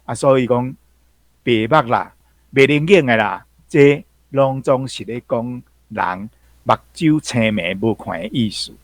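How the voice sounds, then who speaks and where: A man's voice: mid-range voice, a little slow, no room sound. A man with a Taiwanese accent explaining folk sayings, emphatic and fond of repeating key phrases. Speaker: language commentator.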